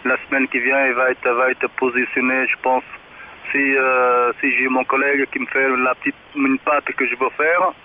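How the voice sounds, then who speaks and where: Halting man on a tinny radio. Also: mid-range voice, flat delivery. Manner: halting, repeats himself. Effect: two-way radio transmission. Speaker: amateur radio operator. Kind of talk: casual radio chat. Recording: tinny radio link with clicks, faint noise.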